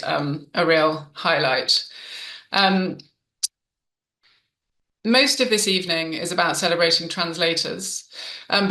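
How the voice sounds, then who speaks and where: A woman hosts an awards evening, speaking in a bright, clear voice that rings out in a roomy space. Her talk is gentle and unhurried, full of little ums and restarts as she moves between guests. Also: on the low side. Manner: warm, with frequent ums and restarts. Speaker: event host. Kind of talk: hosting a literary awards event. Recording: roomy reverberant sound.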